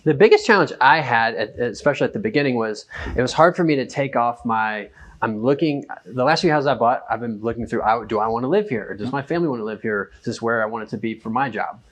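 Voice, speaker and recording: A man's voice: mid-range voice, fast talker. A man in a casual chat as a guest, explaining property investment informally and thinking aloud.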